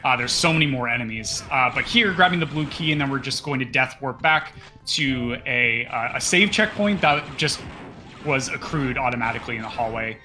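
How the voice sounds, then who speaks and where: A man provides casual live gaming commentary. His voice is bright and forceful at a middling pitch. Faint music plays behind him as he explains the run. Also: fairly quick. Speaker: speedrun commentator.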